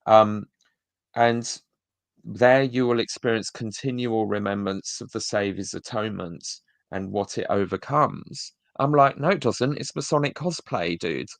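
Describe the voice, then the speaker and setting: Blunt gravelly man, dry sound. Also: on the low side, unhurried, dry recording. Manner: blunt, sardonic. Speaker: co-host reviewing church talks. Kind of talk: casual critical commentary.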